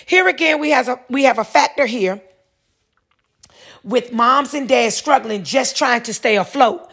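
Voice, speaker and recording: A woman's voice: fairly high pitch, a little slow, a bit bright, breathy edge. A woman, hosting a solo podcast, reading a news article aloud and then rambling through casual commentary, repeating herself and correcting her words.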